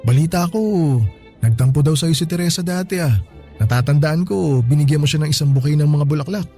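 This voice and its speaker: A man's voice: a little high, brisk, dark tone, faint music behind, close to an everyday voice. An actor playing a friendly, good-humored male store boss with a Filipino accent, chatting easily in a radio drama. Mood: warm, chatty. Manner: chatty, chuckling between remarks. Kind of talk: Tagalog radio drama acting, conversational.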